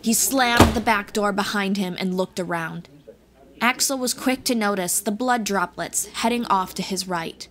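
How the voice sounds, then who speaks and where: A woman's voice: medium pitch, measured pace, fairly bright tone. A woman reading scripted fantasy audiobook narration as the storyteller, with music behind her. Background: background music.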